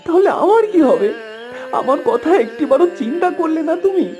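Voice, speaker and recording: A woman's voice: sits high, animated, normal speed, music in the background. A woman voicing a clingy, pleading young motherless village boy in a cartoon. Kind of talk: cartoon child voice acting.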